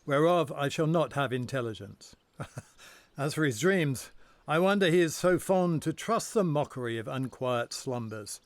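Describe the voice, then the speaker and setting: A man's voice: a little high, lively intonation, a little slow, no echo. An actor playing a confident, dismissive nobleman in Shakespearean audio drama.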